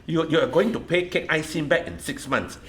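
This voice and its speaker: A woman's voice: low voice, brisk. A woman playing a blunt, scolding kampong neighbour in a radio play, speaking with a Singaporean accent. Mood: brisk, businesslike.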